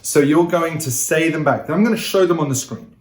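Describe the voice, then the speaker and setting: A man's voice: a little high, brisk, darkish tone. Upbeat man teaching in a roomy space. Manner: upbeat, coaxing, addresses viewers directly. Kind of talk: energetic interactive lesson to camera. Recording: roomy echo.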